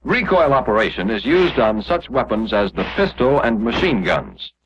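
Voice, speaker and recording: A man's voice: mid-range voice, big pitch swings, normal speed, slight background noise. Muffled 1940s training film narrator, male. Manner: didactic, clearly explanatory, step by step. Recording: muffled, dull 1945 film soundtrack.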